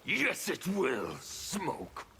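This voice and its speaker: A man voicing a fanatical, defiant revolutionary warrior in a video game, with a gravelly, menacing, declamatory delivery. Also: high-pitched, huge pitch swings, drawn-out words, sharp tone, slight background noise, full character voice. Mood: menacing, bitter. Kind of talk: video game character dialogue.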